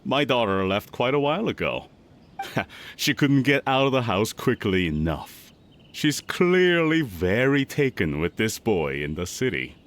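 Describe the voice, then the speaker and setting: A man's voice: mid-range voice, big pitch swings, unhurried. A man voicing a friendly, mild middle-aged village father in an anime game, easygoing and chatty. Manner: easygoing, warm-hearted, chatty.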